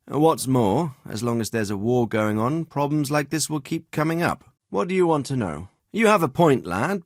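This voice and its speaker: A man's voice: mid-range voice, no room sound. Dignified middle-aged medieval lord for a video game, fatherly but shrewd, well-spoken, very dry close sound. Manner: measured, authoritative, well-spoken. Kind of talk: scripted game dialogue.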